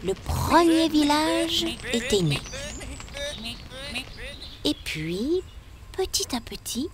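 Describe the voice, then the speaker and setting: A woman playing a kindly, lively guide who narrates history for children in a clear storytelling manner. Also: a little high.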